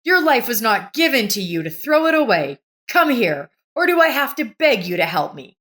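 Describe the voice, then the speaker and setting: A woman's voice: a little high, sing-song, moderate pace, a bit bright, pitched above the performer's own voice. A woman narrator voicing an older woman in a story, motherly but bossy, plain-spoken and insistent. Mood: urgent, bossy.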